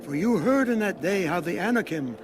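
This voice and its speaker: An actor playing a steadfast eighty-five-year-old warrior in a solemn, measured dramatized Bible reading. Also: male voice, high voice, lively intonation, noisy background. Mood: resolute. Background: music.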